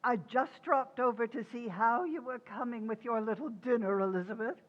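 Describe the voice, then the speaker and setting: A woman's voice: fairly high pitch, moderate pace, close to an everyday voice. A woman playing a nosy, condescending older mother-in-law in radio sitcom style, sing-song and falsely sweet. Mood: nosy, patronising. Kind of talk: old-time radio sitcom acting. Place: community theatre stage radio recreation. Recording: dull, lo-fi stage recording.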